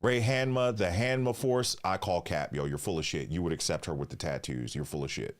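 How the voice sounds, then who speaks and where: A man's voice: low-pitched, brisk. A man hosting a live stream, reacting with slangy, profane banter and reading tips aloud. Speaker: livestream host. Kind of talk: casual live-stream commentary.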